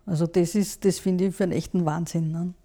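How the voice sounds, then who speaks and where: A woman's voice: lowish voice, fairly even intonation, fairly quick, dark tone. A woman with an Austrian accent in a casual political discussion, conversational and often ending phrases with a short tag question. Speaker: podcast panelist.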